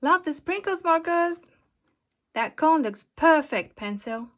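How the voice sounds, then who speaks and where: A high-pitched, eager bottle of glue from a children's picture book voiced by a woman. She sounds friendly, modest and earnest, always encouraging and keen to help. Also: animated, slow pace. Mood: admiring.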